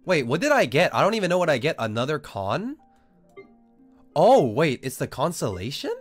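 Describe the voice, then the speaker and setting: A man's voice: fairly high pitch, very animated, moderate pace, faint music behind. A young Australian-born man with a Southern Californian accent, casually chatting and joking with viewers while playing a game. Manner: joking, swears freely, reads chat aloud. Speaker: streamer. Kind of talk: casual gaming livestream chat.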